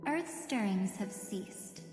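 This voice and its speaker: An actress playing an enigmatic, coldly menacing female entity in a fantasy game, cool and deliberate in her delivery. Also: mid-range voice, expressive. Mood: detached, calculating. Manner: deliberate, cool, quietly threatening. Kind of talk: scripted fantasy game voice acting. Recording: echoey hall reverb with music behind.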